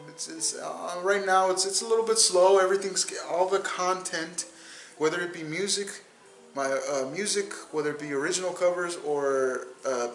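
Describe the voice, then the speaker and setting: Man, 20s, solo podcast host, rambling and repetitive; slightly high voice, roomy echo. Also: fairly bright tone. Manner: rambling, repeats words and restarts. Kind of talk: casual solo podcast monologue.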